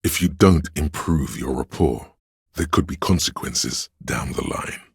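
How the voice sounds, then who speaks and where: Extremely deep, gravelly male narrator. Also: unhurried, no room sound, clean studio sound. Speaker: video game narrator. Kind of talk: dramatic literary scripted narration. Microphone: close studio microphone, dry.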